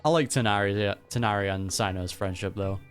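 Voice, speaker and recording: A man's voice: fairly low pitch, fairly quick. A young man giving casual, slangy commentary as he reacts to a video game, easily amused. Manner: amused, frequent chuckling, slangy. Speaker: gaming reaction YouTuber. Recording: game music behind voice.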